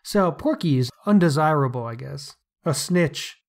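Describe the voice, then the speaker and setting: A man's voice: fairly high pitch, expressive, normal speed, vocal fry. A man co-hosting a podcast, discussing books casually in an articulate, wry way. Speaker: podcast co-host.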